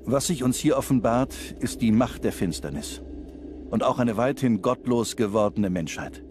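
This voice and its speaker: An actor as a grave soldier, noisy backdrop. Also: male voice, mid-range voice, lively intonation, normal speed, lightly acted. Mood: grave, sombre. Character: young male First World War soldier writing home, grave. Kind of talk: dramatised letter reading. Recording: clear background ambience noise.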